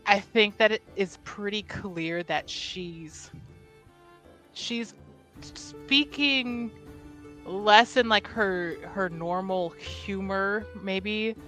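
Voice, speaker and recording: Slow, thoughtful young woman player. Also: medium pitch, a bit bright, faint music behind. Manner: thinking aloud, hedging with "like" and "I think". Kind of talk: describing her character's actions in a tabletop game.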